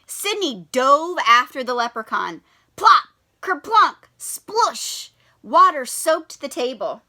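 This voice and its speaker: A woman teacher reading a picture book aloud to young children. Her high, bright voice swoops up and down with lively expression. She pauses often to ask her listeners questions.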